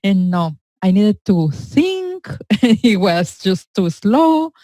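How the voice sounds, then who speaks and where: A woman whose first language is Spanish, joining in a lively discussion with halting phrasing. Her voice is mellow and warm, recorded close and dry. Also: mid-range voice, no room sound. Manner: animated, laughing often, halting phrasing. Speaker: co-host. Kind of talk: conversational discussion.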